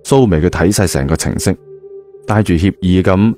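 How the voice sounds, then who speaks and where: A man's voice: low-pitched, moderate pace, dark tone, faint music behind. A man with a Hong Kong Cantonese accent telling a story in a colloquial narrating style. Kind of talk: colloquial audio-novel narration.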